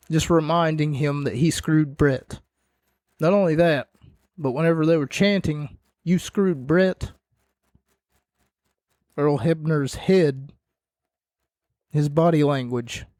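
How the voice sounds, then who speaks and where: A man hosting a podcast, casually recapping wrestling matches in a rambling way with sarcastic asides, his voice starting to go hoarse. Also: a little high, slow pace, pauses often, a little mellow, dry recording, studio quality.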